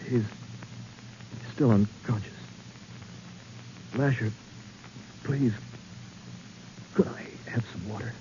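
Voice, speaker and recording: An actor playing a brave, level-headed young intern, earnest and measured, in 1940s radio drama style. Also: male voice, medium pitch, natural voice. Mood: pleading, coaxing. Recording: dull, hissy 1940s radio recording.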